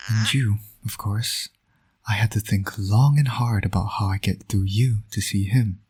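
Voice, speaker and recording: Man playing a husky, low-voiced teasing villain. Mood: teasing, playful. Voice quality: husky, breathy, often near a whisper. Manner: smug, teasing, intimate. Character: teasing flirtatious male villain, doting new father. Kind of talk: romantic roleplay audio acting.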